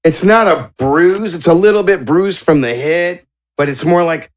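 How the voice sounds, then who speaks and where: A man's voice: a little high, normal speed. A man narrating a tabletop game as game master, improvising descriptions in an informal way.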